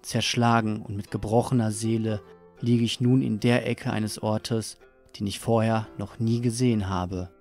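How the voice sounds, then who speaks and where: A man's voice: lowish voice, level tone, unhurried, darkish tone. An actor playing a tormented, disoriented man, narrating his nightmare dramatically in an audio drama. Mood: anguished, brooding. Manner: brooding, dramatic. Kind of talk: scripted first-person audio drama narration. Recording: music under the voice.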